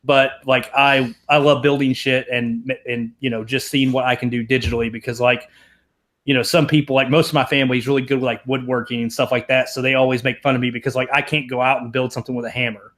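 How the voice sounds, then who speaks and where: A man's voice: medium pitch, flat delivery, fast talker, fairly bright tone. A young Southern man from Tennessee speaks as a podcast guest in a mid-range voice. He rambles through his thoughts, swears casually and pokes fun at himself. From American South, Tennessee. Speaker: guest, programmer.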